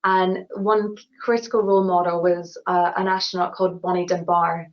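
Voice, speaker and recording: A Scottish woman with a Glasgow accent talks through her career in a low, level voice, hardly rising or falling. She speaks earnestly, often restarting her phrases. The audio is dull and lo-fi, like a webinar call. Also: little pitch movement, moderate pace. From Glasgow, Scotland. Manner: earnest, many restarts and "you know" fillers. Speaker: award-winning engineer guest. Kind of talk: webinar Q&A answers.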